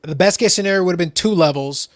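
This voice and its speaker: A man hosting a casual hobby talk show, explaining in an animated way, often repeating a phrase as he starts. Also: high voice, fast talker. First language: not English. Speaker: podcast host.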